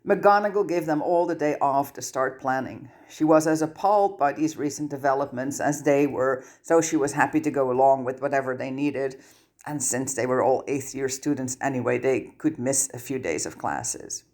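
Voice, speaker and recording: Low-voiced woman narrating a story. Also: normal speed, a little mellow. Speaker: audiobook narrator.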